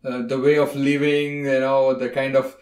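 A man's voice: medium pitch, level tone, normal speed, darkish tone. A man from India, a podcast guest, talking in a casual conversation, earnest and rambling with many fillers. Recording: echoey room.

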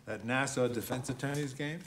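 A man's voice: mid-range voice, fairly quick, crowd noise, lightly acted. An actor playing a curt, sardonic, impatient man at a card game in a film. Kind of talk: naturalistic film acting. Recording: noisy card table, clinking clicks.

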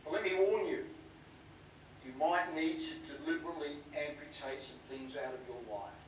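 Earnest preacher in an echoing hall. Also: male voice, on the high side, fairly even intonation, moderate pace. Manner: earnest, rhetorical questioning. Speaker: pastor. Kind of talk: sermon. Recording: distant echoey sermon audio with faint noise.

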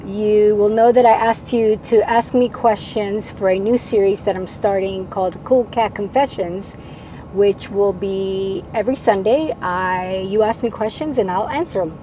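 A middle-aged woman from Venezuela, a native Spanish speaker, answering viewer questions in a casual, measured vlog style. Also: medium pitch, low-quality recording. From Venezuela, moved to the United States at ten. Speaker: vlogger, channel host. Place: parked camper van cab. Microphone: lapel mic. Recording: steady background noise inside a vehicle.

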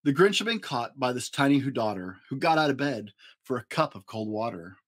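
A man in his forties reads a children's story in rhyme. His pitch sits in a middle range and moves up and down expressively. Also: very animated, brisk. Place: small home room, indoors.

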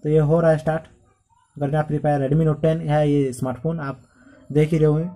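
A man's voice: on the high side, flat delivery, moderate pace, mellow. A man narrating a casual step-by-step phone tutorial in a repetitive, instructional manner. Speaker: tech tutorial host.

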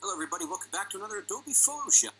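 Bright, lively man in a quick intro. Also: sits high, slightly rough, soft background music. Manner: upbeat, punchy greeting. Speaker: tutorial host, in a played clip. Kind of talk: energetic video intro.

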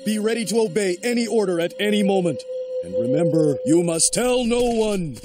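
A pompous young leader of a cartoon secret club, speaking unusually high. He is ceremonial and commanding, handing down orders with great solemnity over prominent music. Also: lively intonation, moderate pace. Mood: stern, ceremonial. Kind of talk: animated series voice acting.

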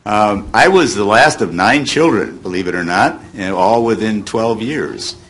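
A man's voice: on the low side, expressive, unhurried, fairly bright tone. An American man in his seventies, reminiscing casually as a guest on a panel. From United States, Illinois. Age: 70s. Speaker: guest panelist, former child radio actor. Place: hotel meeting room at a convention. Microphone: table microphone on a stand.

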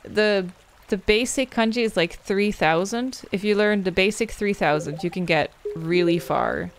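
A woman's voice: medium pitch, a little slow, a little hiss or hum. A young adult woman, a game streamer wrapping up with casual, rambling chat as she thanks viewers by name and answers their questions. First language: Dutch.

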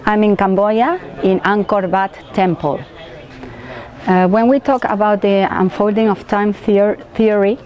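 A middle-aged woman speaks to camera in Spanish-accented English, outdoors. Her voice is soft-edged and mellow at an average female pitch. She explains in an unscripted way, often halting to search for the next word. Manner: halting, searching for words in non-native English. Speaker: vlogger presenting a theory. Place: outdoors at open stone temple ruins.

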